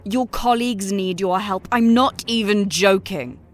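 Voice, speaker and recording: A woman's voice: medium pitch, faint music behind, natural voice. Woman acting as a firm, reproachful conscience figure. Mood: urging. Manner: insistent, reproachful, measured. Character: firm, moralising female character confronting her author. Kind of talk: rhyming scripted audio drama.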